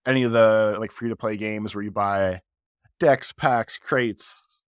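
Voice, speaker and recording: A man with a low voice and a stuffy, congested nose from a cold, rambling through a casual podcast chat. His talk wanders and is packed with fillers. The sound is close and dry, with no room around it. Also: steady tone, unhurried, clear, resonant tone, no echo. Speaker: podcast co-host.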